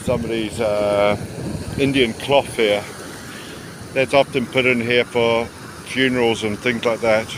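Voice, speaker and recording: A man giving a casual, rambling travel commentary outdoors by the sea, often pausing mid-sentence. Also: medium pitch, fairly even intonation. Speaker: travel vlogger. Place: outdoors on a rocky seashore by tidal pools. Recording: windy outdoor recording with sea noise. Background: wind and waves.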